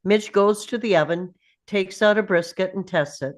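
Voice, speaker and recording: A woman's voice: medium pitch, moderate pace. A woman reading scripted stage directions as narrator at a table read.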